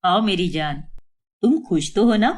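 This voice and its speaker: A doting young husband from a cartoon storybook, put on by a woman who drops her voice far below her own. He speaks softly and reassuringly, and his lines swing up and down with plenty of life. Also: medium pitch, animated, unhurried, voice pushed down. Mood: affectionate.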